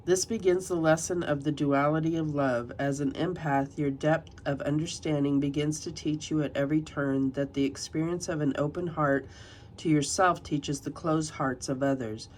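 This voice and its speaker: A woman's voice: low-pitched, steady tone, a little hiss or hum, low-quality recording. A woman reading tarot cards and reading passages aloud from a guidebook, slightly nasal from a cold. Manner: soft, unhurried, reads passages aloud. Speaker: tarot reader.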